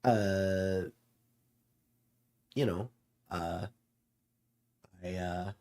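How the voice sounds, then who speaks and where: A man chatting casually and reflectively as a streamer, in a halting way with drawn-out pauses. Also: deep voice, steady tone, a little mellow. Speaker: streamer host.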